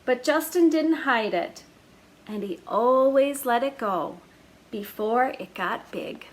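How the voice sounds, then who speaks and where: A woman storyteller reading a children's picture book aloud in a slow, deliberate way. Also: a little high, lively intonation.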